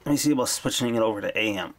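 A man's voice: lowish voice, racing. A man giving an informal, offhand hands-on product demo, speaking from behind a handheld camera. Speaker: video creator demonstrating a vintage radio. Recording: background noise, handling clicks.

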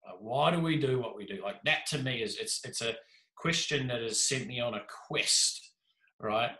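Give me an animated, jokey New Zealand man in his thirties, bright-toned and echoey. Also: mid-range voice, normal speed. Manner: animated, gestures a lot, jokey. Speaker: podcast guest. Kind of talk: casual storytelling. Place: small room indoors. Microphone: video call with desk mic. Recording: echoey room.

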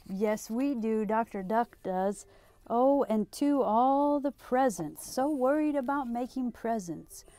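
A woman's voice: fairly high pitch, dark tone. A middle-aged woman hosting a children's storytime, reading and chatting in a sing-song way, asking questions and answering them. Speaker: library storytime host.

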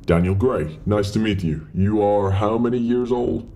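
A man's voice: lowish voice, normal speed, heavy background noise, close to an everyday voice. A man acting a cold, detached company interviewer in a horror drama, speaking in a formal, clipped way. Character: cold, businesslike male company interviewer with sinister intent.

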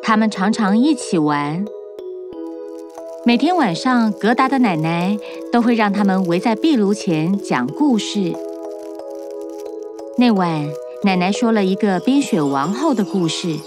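A woman's voice: mid-range voice, animated, unhurried. Woman narrating a storybook over music.

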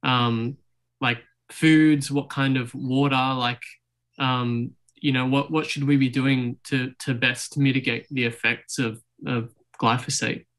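A man's voice: mid-range voice, little pitch movement, takes it slowly, a bit bright. A young man hosting a podcast, asking an interview question over a video call from his room, with many ums and restarts. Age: young adult. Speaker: podcast host. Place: bedroom at home, indoors.

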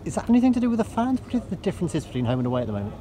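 A man's voice: high voice, sing-song, racing, a crowd in the background. A man with an English accent, a club media interviewer asking rambling, hesitant post-match questions.

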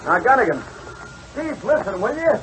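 High-voiced harried newspaper reporter. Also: male voice, very animated, moderate pace, fairly bright tone, full character voice. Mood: exasperated. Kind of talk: 1940s radio drama acting. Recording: noisy vintage radio recording.

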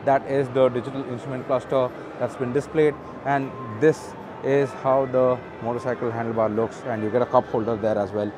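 A man's voice: mid-range voice, flat delivery, brisk, over music. A man with an Indian English accent, an auto show presenter walking through a large indoor expo hall, filming on a handheld phone from behind the camera and casually reading out spec sheets with plenty of fillers. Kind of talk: off-camera walkaround narration. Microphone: handheld phone camera, speaker off-screen.

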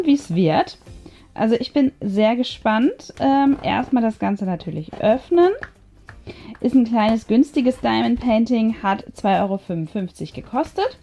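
A woman's voice: mid-range voice, dark tone. A woman hosting a craft video, casually narrating each step and thinking aloud as she goes. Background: background music.

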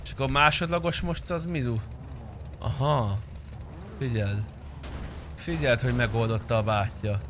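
Bright-voiced young man on stream. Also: medium pitch, long pauses, a little hiss or hum. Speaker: streamer playing GTA roleplay. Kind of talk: casual gaming stream chat with other players. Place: indoor streaming room.

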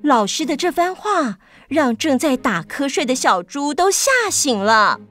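A woman narrating a scripted story for children. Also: on the high side.

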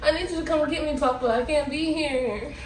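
A woman's voice: high-pitched, fairly quick. A young American woman talking casually while making prank phone calls. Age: young adult. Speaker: prank-call video host. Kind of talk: casual prank-call chat. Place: indoor room with cloth backdrop. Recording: echoey, distant mic, faint noise.

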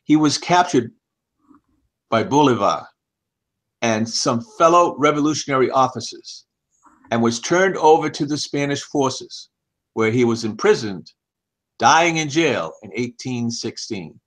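A man's voice: medium pitch, animated, measured pace, long pauses, fairly bright tone. An older man reading a scripted narration aloud, recorded indoors on a headset microphone. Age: older, 60s or above. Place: small home office, indoors. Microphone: headset boom mic.

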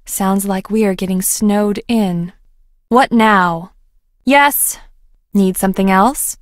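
A young female scientist from a video game, played by a woman, talks in a wry, guarded, conversational way. Her pitch sits in the middle. The sound is dry, with no room around it. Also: slow, dry recording, close to an everyday voice. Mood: casual, friendly. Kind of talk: video game dialogue acting.

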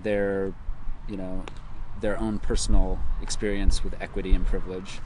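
Young guy being interviewed outside, lowish voice, thoughtful, traffic clearly audible behind. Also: little pitch movement, normal speed, a little mellow, low-quality recording. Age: young adult. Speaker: interview guest, team manager. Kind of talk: casual interview chat. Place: outdoors in a sunny park.

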